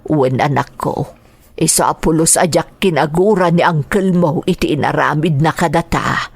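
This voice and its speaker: A forgiving, earnest mother in middle age for a radio drama. She speaks with a Filipino accent in a crackly, fry-laden voice, recorded very dry. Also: low voice, normal speed, no room sound, heavily acted. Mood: concerned, confiding. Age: middle-aged. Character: kindly, grateful mother, an older sister figure, forgiving and earnest. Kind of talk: Ilocano radio drama acting.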